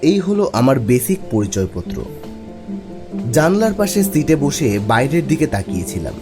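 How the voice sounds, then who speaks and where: A man playing a young office worker, narrating his own eerie story in an expressive storytelling manner. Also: mid-range voice, normal speed, natural voice. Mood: matter-of-fact. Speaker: narrator and lead character. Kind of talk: dramatic first-person horror story narration. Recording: music behind the voice.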